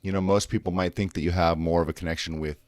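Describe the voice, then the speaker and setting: A man's voice: low voice, fairly even intonation, fast, a little mellow. Casual man interviewing. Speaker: podcast co-host.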